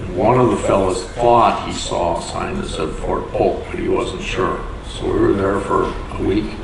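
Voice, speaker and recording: Gravelly low-voiced older man reminiscing. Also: fairly even intonation, normal speed, a crowd in the background. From Door County, Wisconsin. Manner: plainspoken, chuckles at his own stories. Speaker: interview guest. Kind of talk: unhurried storytelling. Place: community hall with audience. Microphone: far from the mic. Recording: echoey hall from far off, noisy.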